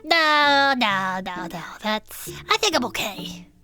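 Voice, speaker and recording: Bright, high-voiced excitable cryptid fan. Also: female voice, takes it slowly, faint music behind, full character voice. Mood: playful. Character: excitable, cheeky cryptid enthusiast co-hosting a club show. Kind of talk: comedic audio fiction banter.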